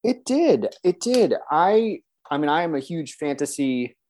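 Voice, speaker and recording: A man's voice: a little high, unhurried, a little hiss or hum. A man who works as a voice actor, chatting casually and enthusiastically as an interview guest. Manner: enthusiastic, animated self-interruptions.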